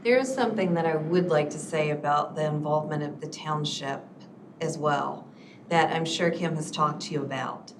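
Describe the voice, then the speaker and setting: A woman on county planning staff, explaining permits and site limits at a public zoning hearing in a measured way. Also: low-pitched. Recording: echoey room, distant mic, noisy.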